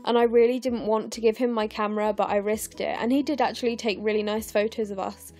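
A young English woman with a croaky, tired voice talks through her scrapbooking at home late at night. She sits a little higher than most women and has a mellow, soft tone, rambling along with plenty of fillers. Faint music plays underneath. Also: steady tone, fast talker. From England. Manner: chatty, rambling, frequent fillers. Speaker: scrapbooking vlogger. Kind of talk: casual voice-over narration of a craft process.

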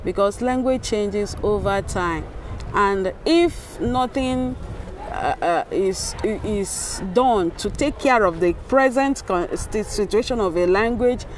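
A middle-aged woman with a Nigerian accent gives an explanatory academic talk at an unhurried, slow pace. She speaks haltingly, rephrasing as she goes, at an average pitch. She is recorded outdoors on a clip-on mic, with faint ambient noise behind her. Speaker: academic linguist. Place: outdoors in a yard with sculptures. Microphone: clip-on lapel microphone.

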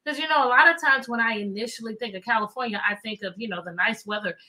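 A woman with an American accent talks to children like an engaging teacher on a livestream. Her voice sits high and has a bright, forceful edge. She is animated and often repeats her questions to pull listeners in. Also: fast, rough recording. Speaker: teacher, children's read-aloud host. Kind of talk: chatty interactive livestream for children. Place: indoor room with bookshelves.

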